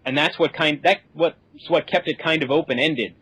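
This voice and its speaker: A man explains things informally as a guest on a podcast. His voice is a little high for a man, and the sound is very dry, with no room around it. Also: normal speed, pushed and bright, breathy edge, no room sound, rough recording. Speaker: podcast guest, space simulation specialist.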